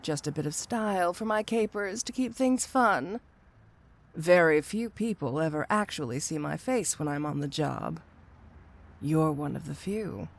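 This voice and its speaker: A woman's voice: deep voice, exaggerated voice. A woman playing a flirty, dangerous cat burglar supervillain in an audio roleplay, teasing and sardonic with amused asides. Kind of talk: scripted audio roleplay acting addressed to the listener.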